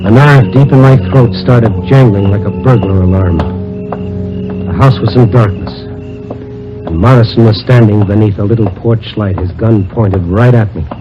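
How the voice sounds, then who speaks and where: An American actor playing a wry, world-weary private detective who narrates in a hardboiled 1940s radio drama style. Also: male voice, lowish voice, moderate pace, lo-fi sound, lightly acted. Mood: tense narration. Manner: wisecracking, hardboiled. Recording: old radio recording with music.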